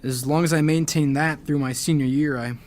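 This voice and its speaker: An actor playing a frustrated but determined teenage high school athlete in an audio drama, earnest and defensive. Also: male voice, steady tone, brisk, a little mellow, natural voice. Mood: dejected.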